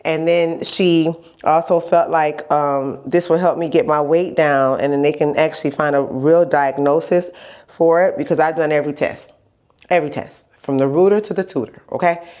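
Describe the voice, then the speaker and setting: A woman's voice: low voice, steady tone, normal speed, a little mellow. A woman vlogger chatting casually in a candid, rambling video diary, stuffy from a lingering cold and repeating phrases for emphasis. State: stuffy, getting over a cold. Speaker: vlogger sharing health journey.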